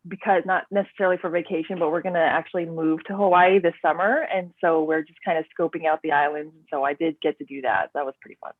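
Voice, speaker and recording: A woman author talking about her books in a relaxed, rambling way, full of "um" and "like", over a remote call. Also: on the low side, no room sound. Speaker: author guest. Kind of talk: casual interview chat. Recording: tinny dry phone line.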